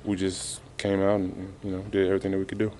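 A man in his thirties answering reporters after a game, halting and subdued, with many fillers. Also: low-pitched, completely flat delivery, mellow, slight background noise. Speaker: basketball player at a postgame press conference. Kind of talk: postgame press interview. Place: arena press room, indoors. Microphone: reporters' handheld mics held close.

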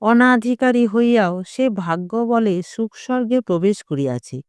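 A narrator reading a literary short story aloud in a measured, dramatic storytelling manner. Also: mid-range voice, expressive, smooth, no room sound. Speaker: audiobook narrator.

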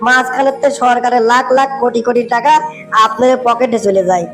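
Cheeky male sidekick for a cartoon female actor, high-pitched, northern Bangladeshi accent, deferential but needling. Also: a little fast, a bit bright, faint music behind, lightly acted. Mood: needling, complaining. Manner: needling, deferential yet challenging. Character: cheeky, questioning male underling of an official. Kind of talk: comic cartoon dialogue.

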